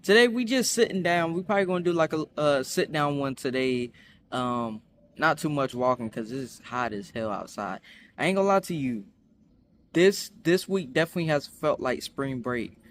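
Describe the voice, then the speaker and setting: A young man chatting casually in a storytime vlog, rambling with many fillers and restarts, acting out quotes in higher voices. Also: expressive, moderate pace, slight background noise. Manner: acts out quotes with high voices. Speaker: vlogger.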